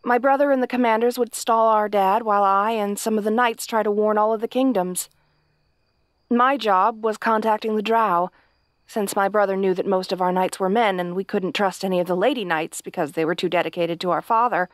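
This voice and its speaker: A woman's voice: mid-range voice, fairly even intonation, a little fast, no room sound, close to an everyday voice. A woman playing a kind, naive young princess in a fantasy audio roleplay, speaking politely and hesitantly to the listener. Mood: earnest explaining. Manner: hesitant, polite, rambling earnest explanations.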